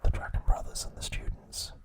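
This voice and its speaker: A man hosting ASMR, narrating in a hushed, soothing, breathy whisper at an unhurried pace. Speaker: ASMR host.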